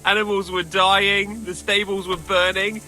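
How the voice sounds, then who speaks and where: An actor playing a shaken, bitter male raid survivor in a video game, speaking haltingly. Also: high-pitched, little pitch movement, bright, forceful tone, soft background music, heavily acted, low-quality recording. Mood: distraught. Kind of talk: video game dialogue acting.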